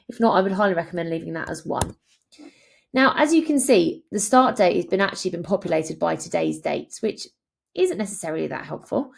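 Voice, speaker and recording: Lower-voiced woman giving a tutorial. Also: creaky. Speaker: software tutorial presenter. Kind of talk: conversational instructional walkthrough.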